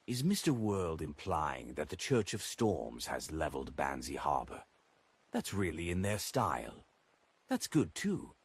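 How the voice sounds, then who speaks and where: A man's voice: rumbling low, huge pitch swings, measured pace, husky, exaggerated voice. A man voicing an eager, curious young noblewoman in an audiobook, with a lifted, inquisitive delivery. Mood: intrigued.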